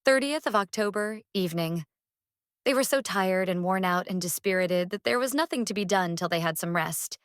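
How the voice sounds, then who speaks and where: An earnest, devoted young wife voiced by a woman reads from her diary in an audiobook drama. She speaks carefully and deliberately, as if reasoning things out, in a dry, intimate recording at a middling pitch. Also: clean tone, no room sound, close to an everyday voice. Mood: weary, grateful.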